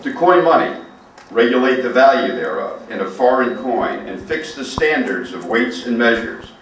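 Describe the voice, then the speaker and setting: A man's voice: mid-range voice, lively intonation, normal speed, fairly bright tone. A man formally reading a legal document aloud as a guest reader. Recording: very echoey, distant, faint noise.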